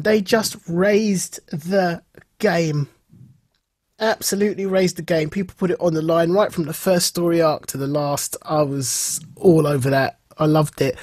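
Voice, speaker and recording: A man's voice: sits high, darkish tone, husky, dry recording, studio quality. A man co-hosting a podcast, chatting casually about shows in an enthusiastic, emphatic way. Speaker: podcast co-host. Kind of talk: casual chat, reviewing TV shows.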